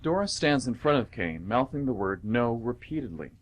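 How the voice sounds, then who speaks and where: A man's voice: medium pitch, very animated, moderate pace. A man narrating scripted stage directions for a radio play.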